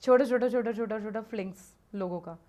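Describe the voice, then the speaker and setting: A woman's voice: medium pitch, a little fast, dark tone, muffled recording. A woman who is a guest on a podcast, giving her opinions in casual conversation, emphatic and fond of repeating words to stress them.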